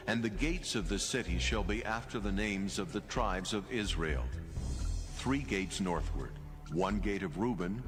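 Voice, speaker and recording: Male narrator, lowish register, solemn formal scripture reading, deliberate phrases, prominent music bed.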